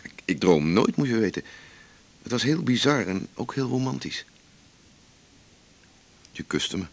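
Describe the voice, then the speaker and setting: An actor playing a teasing, evasive romantic who muses wistfully, in a naturalistic radio drama. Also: male voice, medium pitch, big pitch swings, measured pace, gravelly, exaggerated voice.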